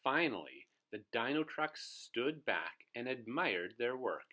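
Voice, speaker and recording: A man's voice: mid-range voice, big pitch swings, slow, pushed and bright. A man reading a children's storybook aloud as host, expressively, putting questions to his young listeners.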